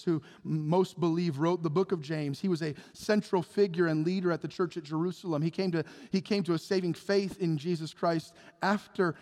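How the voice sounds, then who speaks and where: A man preaching a sermon with passion, acting out Bible figures in quick bits of dialogue. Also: a little high, darkish tone. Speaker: pastor. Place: church sanctuary. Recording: long hall reverb.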